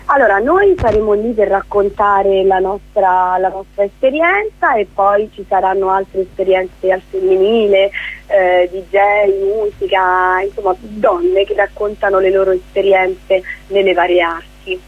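A woman's voice: mid-range voice, a little slow, dry recording, narrow, tinny audio. A woman speaking as a guest theatre director in a conversational radio interview, explaining things discursively.

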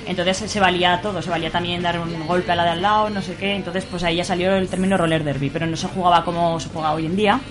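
A woman's voice: on the low side, steady tone, quick pace, few pauses, over music. A woman with a Spanish accent from Spain chatting informally as an interview guest, with salty, everyday phrasing. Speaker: interview guest, roller derby player. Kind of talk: casual chat.

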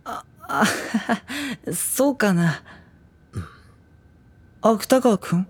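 A man playing a gentle, self-doubting high school boy in dialogue and first-person narration for an audio drama. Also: lively intonation, extremely slow, slightly rough, heavily acted. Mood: hesitant.

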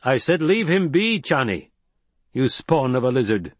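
A man voicing a stern, proud leader of a desert tribe, grave and forceful. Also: mid-range voice, normal speed, no room sound, natural voice. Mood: commanding.

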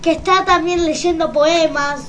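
A woman's voice: very high voice, steady tone, a little slow, a bit bright. A female co-host with an Argentine accent, giving an informal radio sign-off.

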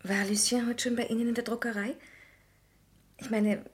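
An actress playing a devoted, modest young working-class sister in a German radio drama, earnest and heartfelt. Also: female voice, a little high, flat delivery, normal speed, lightly acted. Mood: tender, concerned.

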